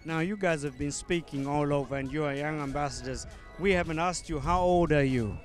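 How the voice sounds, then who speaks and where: A man interviewing people at an event, halting with frequent fillers and restarts. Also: on the high side, soft background music. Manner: hesitant, many ums and restarts.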